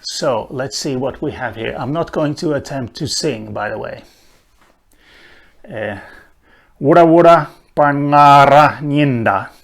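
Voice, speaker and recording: Swedish-speaking guy explaining a language lesson, real slow, lots of uh's, mid-pitched voice. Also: creaky. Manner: slow and thoughtful, with many "uh" pauses. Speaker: language teacher. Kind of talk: unhurried spoken lesson on a language.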